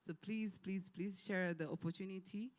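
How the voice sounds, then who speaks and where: A woman's voice: mid-range voice, fairly even intonation, moderate pace. Woman giving a hesitant welcome speech. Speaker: event host giving a welcome. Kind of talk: informal public welcome speech.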